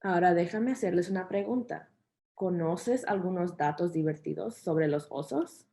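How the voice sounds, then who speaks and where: A woman's voice: on the low side, brisk, creaky, warm tone. A woman interpreting a children's program, relaying instructions and fun facts to families and kids.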